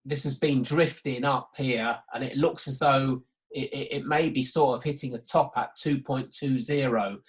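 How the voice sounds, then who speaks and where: A man giving a live market walkthrough as a betting trading tutor, thinking aloud and often repeating himself. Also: medium pitch. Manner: hesitant, repetitive, thinking aloud. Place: online conference call.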